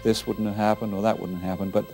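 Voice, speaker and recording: Interviewee: middle-aged man, creaky low voice, halting and conversational, faint music underneath. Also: level tone, darkish tone. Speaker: interviewee commenting on racing history. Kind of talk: unscripted interview recollection. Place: indoor interview set.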